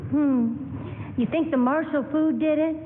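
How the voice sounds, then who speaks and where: A woman's voice: high voice, slow, slight background noise. An actress playing a chatty, guileless young girl in a 1940s-style radio drama. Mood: bright, chatty. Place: stage of a live radio theatre. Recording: tinny radio broadcast from echoing hall.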